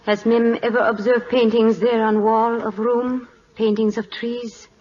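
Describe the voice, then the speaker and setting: Slow, solemn royal wife played by actress. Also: female voice, a little high, level tone, a little mellow, lightly acted. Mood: solemn, wistful. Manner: slow, measured broken English. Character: solemn, dignified Siamese first wife of the king. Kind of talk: 1940s radio acting. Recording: dull, faintly noisy old radio recording.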